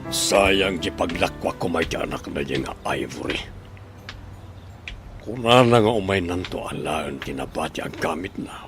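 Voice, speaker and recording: A man's voice: low-pitched, lively intonation, unhurried, music in the background. A man with a Filipino Ilocano accent playing a shady child abductor in a radio drama. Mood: scheming, frustrated. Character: shady male child abductor plotting with an accomplice.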